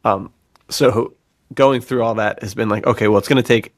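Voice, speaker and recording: A man's voice: lowish voice, steady tone, normal speed, no room sound, clean studio sound. A man hosting a casual podcast chat about writing, talking in an effusive, informal way as a fellow author. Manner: effusive, informal, says "dude". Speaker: podcast host and fiction author.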